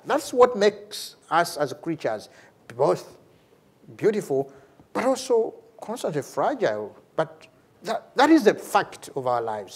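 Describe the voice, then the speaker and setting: Ugandan man lecturing, fairly high voice. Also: breathy edge. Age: middle-aged. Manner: animated, repeats words for emphasis, chuckles between phrases. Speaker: lecturer, theology professor and priest. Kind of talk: lecture with off-the-cuff answer to a question. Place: large university lecture hall, at a lectern.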